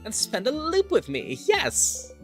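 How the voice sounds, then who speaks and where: A streamer voicing a playful, teasing star-like creature from a video game, theatrical and cheery, with sing-song, drawn-out words. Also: mid-range voice, wildly swooping pitch, fairly bright tone, faint music behind, voice pushed up, heavily acted. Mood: gleeful.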